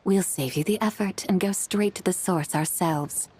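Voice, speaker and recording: A woman voicing a calm, dignified, literal-minded scholarly woman in a video game, speaking in a formal, measured, matter-of-fact way. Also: fairly low pitch, a little hiss or hum, close to an everyday voice. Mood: composed, courteous.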